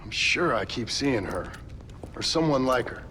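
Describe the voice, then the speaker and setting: A man's voice: mid-range voice, lively intonation, measured pace, sharp tone, slight background noise. A man playing a world-weary, wry frontier gunslinger in a video game, with an American West accent and a dry, understated manner. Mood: wry, curious. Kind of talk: video game cutscene acting.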